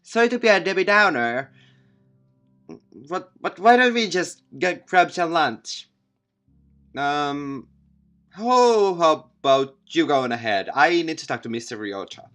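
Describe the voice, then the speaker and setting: A man voicing an earnest, easily flustered teenage schoolboy, the protagonist of a visual novel, in a halting manner. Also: on the high side, animated, a bit bright, soft background music. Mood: hesitant.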